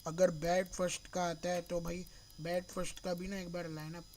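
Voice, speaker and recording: A man from Mumbai streaming a cricket video game, slightly hoarse from a sore throat, thinking aloud and calling his viewers "bro" in nearly every phrase. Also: sits high, level tone, moderate pace, a little mellow, slight background noise. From Mumbai, India. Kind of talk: live gameplay stream chat.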